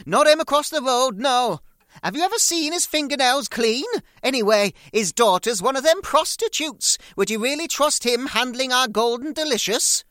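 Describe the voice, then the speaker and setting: A man puts on a crotchety British woman in her seventies for a comic drama. The voice is pitched far up, shrill and forceful, full of suspicion and indignant complaint. It sounds close and dry. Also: lively intonation, normal speed, no echo, heavily acted. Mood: contemptuous. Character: cantankerous, foul-mouthed, suspicious old mother in her seventies.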